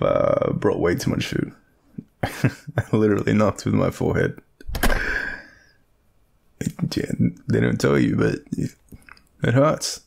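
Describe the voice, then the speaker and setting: An Australian man playing a shy, easygoing young man smitten with his friend, speaking haltingly in an intimate audio roleplay. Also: on the low side, vocal fry, a little mellow, full character voice. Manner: hesitant, halting, chuckling, stammering.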